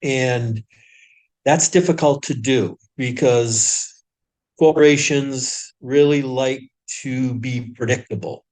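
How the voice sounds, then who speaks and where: A middle-aged man as a podcast guest, speaking reflectively and hesitantly, with pauses and restarts as he recounts his career. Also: mid-range voice, darkish tone, poor audio. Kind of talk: reflective conversational storytelling.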